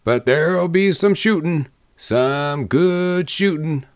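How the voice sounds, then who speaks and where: A man's voice: a little high, unhurried, clear, resonant tone, no echo, studio quality, pitched above the performer's own voice. A man voicing a laconic, folksy frontier saloon regular in a storybook Western. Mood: grim. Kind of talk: storybook character voice in a Western tale.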